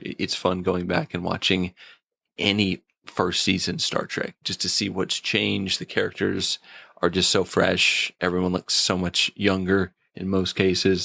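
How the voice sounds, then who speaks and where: A man's voice: low voice, creaky, fairly bright tone, no echo, clean studio sound. A man co-hosting a podcast, casually reviewing a TV episode in a joking manner. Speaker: podcast co-host.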